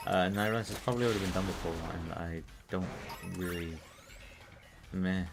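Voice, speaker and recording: British guy streaming, kinda low flat voice, swears a lot, faint music behind. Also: a little fast. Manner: rambling, profane, self-described monotone. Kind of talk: casual live-stream chat while gaming.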